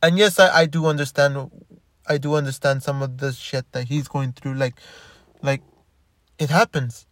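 A man's voice: medium pitch, fairly even intonation, moderate pace, long pauses, a bit bright, dry recording. A man talking casually in a rambling monologue, restarting and repeating his words often. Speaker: commentator.

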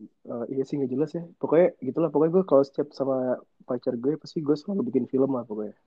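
A young man co-hosts a podcast in a Jakarta Indonesian accent. His talk is casual, slangy and full of teasing, at a medium pitch. The recording is dry, with a somewhat dull, lo-fi tone. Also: fast talker, no room sound. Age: young adult. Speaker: podcast co-host. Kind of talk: casual bantering chat.

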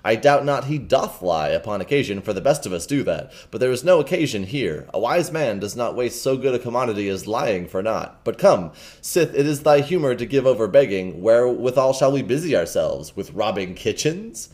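Man voicing a young beggar-thief, scheming, old-fashioned storybook talk, middle pitch. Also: expressive, fairly quick, hardly any pauses, a little mellow, lightly acted. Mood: wheedling.

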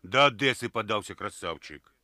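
A man's voice: on the low side, lively intonation, pushed and bright, no room sound, studio quality. An actor playing an easygoing, drawling village policeman with a Ukrainian accent in a radio play. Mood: amused, surprised. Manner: folksy, drawling, unhurried.

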